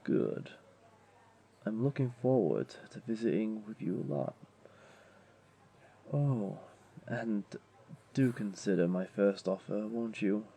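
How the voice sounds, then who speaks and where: A man's voice: lowish voice, takes it slowly, creaky, long pauses, mellow, slightly rough, heavily acted. A man playing a wealthy, flirtatious jaguar beastling in a comforting audio roleplay, tender and teasing, with a coaxing, purring delivery. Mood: gentle, playful.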